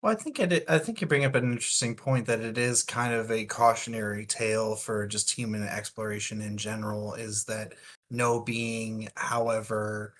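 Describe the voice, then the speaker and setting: Low-voiced man, even and thoughtful. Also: moderate pace, a little hiss or hum. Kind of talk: casual book discussion.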